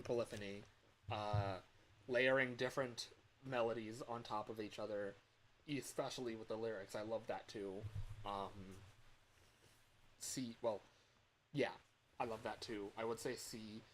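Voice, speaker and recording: A man's voice: mid-range voice, low-quality recording. A man chatting casually on a livestream in a rambling, self-deprecating way. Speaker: livestreamer recording game voice lines. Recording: steady fan hum in background.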